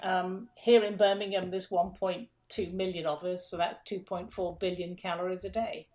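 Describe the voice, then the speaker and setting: A woman's voice: fairly low pitch, level tone, moderate pace, darkish tone. A middle-aged woman from England, a guest in an interview, explaining food systems step by step with emphatic repeats.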